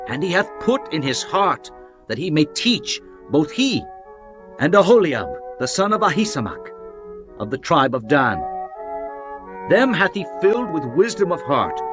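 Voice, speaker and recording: Man acting impassioned prophet, swooping high delivery. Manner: declamatory, deliberate, solemn phrasing. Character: Moses, impassioned male prophet and leader addressing his people. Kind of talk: dramatised scripture reading. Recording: music bed behind the voice.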